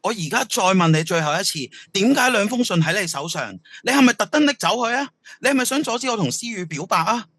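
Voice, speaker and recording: A man playing an intense, accusing high-school student in an audio drama, pressing with questions. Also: animated, quick pace, few pauses, a bit bright, dry recording. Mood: accusing, upset. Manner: pressing, interrogating. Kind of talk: Cantonese radio drama acting.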